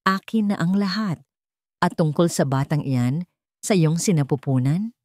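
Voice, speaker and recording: A woman's voice: lowish voice, lively intonation, moderate pace, darkish tone, dry recording, studio quality, close to an everyday voice. A woman voicing a cold, commanding, contemptuous ex-husband in an audio romance story. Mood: harsh, dismissive.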